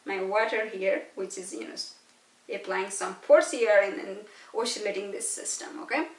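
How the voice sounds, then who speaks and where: A woman's voice: mid-range voice, steady tone, moderate pace. A woman from Nepal with a Nepali accent giving an informal physics lecture, explaining through questions and frequent little checks for understanding. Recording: echoey room, distant mic.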